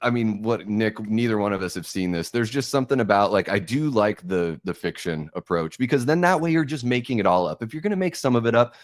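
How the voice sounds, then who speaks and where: Young american guy podcasting, lowish voice, dry close sound, kinda halting and thoughtful. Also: animated, no room sound. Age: young adult. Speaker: podcast host. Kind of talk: opinionated podcast discussion, reading out notes.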